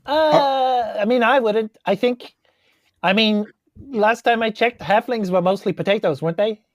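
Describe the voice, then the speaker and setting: A man's voice: sits high, measured pace, no room sound, clean studio sound, pitched above the performer's own voice. A man playing a small, centuries-old forest gnome sorcerer in a tabletop game, rambling and tentative, a little defensive. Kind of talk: improvised tabletop role-play.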